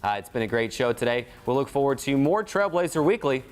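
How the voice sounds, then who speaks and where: A man's voice: mid-range voice, expressive, brisk, clear, resonant tone, faint music behind. A man with an American accent hosting a college sports recap show. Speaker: sports show host and play-by-play announcer.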